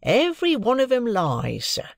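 Man doing a brisk victorian clerk from an audiobook, pitched up, emphatic, fond but private. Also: huge pitch swings, vocal fry, no room sound, clean studio sound, exaggerated voice.

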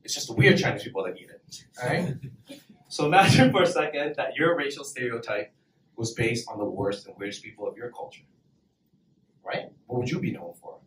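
A man's voice: mid-range voice, fairly quick, a bit bright, a little hiss or hum. A young man doing a brash, profane stand-up set at an open mic, laughing between punchlines. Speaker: stand-up comedian. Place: small comedy club stage, in front of an audience. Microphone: handheld mic, filmed from far back in the room. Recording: roomy club sound from far off. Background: audience laughter.

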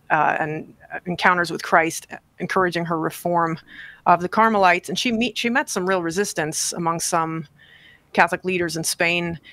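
A bright, clear-voiced woman in her forties talks as a guest author, thoughtful and measured, pausing with fillers as she builds her points. She is recorded dry and close on a desk mic in a home study. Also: fairly low pitch, no echo. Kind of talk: interview on radio show. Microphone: desk podcast mic on boom, video call.

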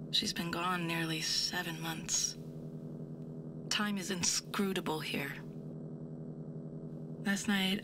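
A woman's voice: on the low side, background noise, close to an everyday voice. A woman playing an exhausted, grieving scientist in her late forties, confiding a journal entry in an audio drama. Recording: music behind the voice.

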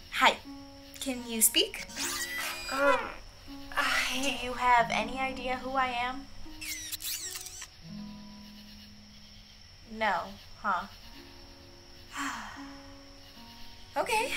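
A cheeky thirteen-year-old girl pickpocket in a fairly high voice. She is wry and glib, chatting through a radio drama tale, with clear music behind her. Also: animated, slow pace, pauses often, rough recording. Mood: casual, curious. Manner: wry, glib, conversational storytelling. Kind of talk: radio drama narration and acting.

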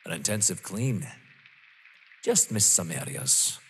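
A man acting in an audio drama as a dogged, stern police inspector, pointedly courteous, with a rough, husky voice. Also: deep voice, sing-song, takes it slowly, fairly bright tone, slight background noise, full character voice. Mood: accusing. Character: dogged, stern male police inspector, dryly polite and accusing. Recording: long echoing hall reverb.

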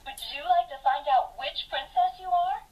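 A woman voicing a sweet, flattering enchanted mirror in a children's toy, gushing in a sugary manner. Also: very high-pitched, normal speed, dull audio, a crowd in the background. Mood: inviting. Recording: toy speaker played in room, faint noise.

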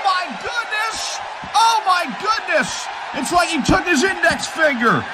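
A man doing mock basketball play-by-play as a parody sports commentator, with a profane, bombastic announcer delivery. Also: very high voice. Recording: noisy, game crowd and court sounds. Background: basketball game ambience with bouncing ball.

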